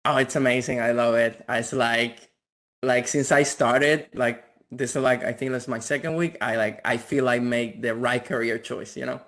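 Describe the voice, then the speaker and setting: Male guest, thirties, from the Dominican Republic, medium pitch, casual talk full of repeated words. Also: fairly even intonation, normal speed, a bit bright. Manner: repeats words, frequent "like" and "you know". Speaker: interview guest, physician. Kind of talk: casual conversational interview.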